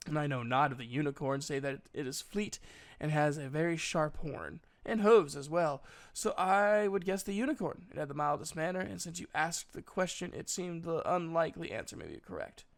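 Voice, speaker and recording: A man's voice: on the high side, normal speed, creaky. A man voicing an earnest, humble nine-year-old prince in a storybook reading, with hesitant, formal courtesy. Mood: thoughtful, humble.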